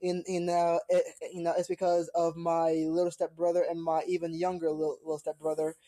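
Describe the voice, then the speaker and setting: A woman hosting a reaction video, commenting casually and haltingly, often repeating her words. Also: lowish voice, completely flat delivery, dark tone, no room sound. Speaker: host of a reaction show.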